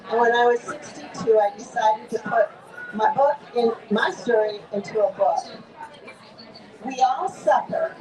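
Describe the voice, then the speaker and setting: A woman speaks slowly and earnestly, stopping often on fillers. Her voice sits a little high and is picked up from a distance. A loud crowd and echo fill an outdoor book fair booth. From Upper Peninsula of Michigan. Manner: slow, earnest, halting with fillers. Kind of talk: personal talk to a passing crowd.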